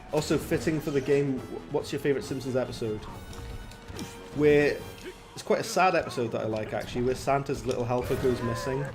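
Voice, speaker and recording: A man with a Welsh accent streams gameplay from home, chatting easily with his viewers. His voice sits at a medium pitch, and he laughs often as he goes. Game music and effects play clearly behind him. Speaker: gaming streamer. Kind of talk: live gameplay commentary. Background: game music and sound effects.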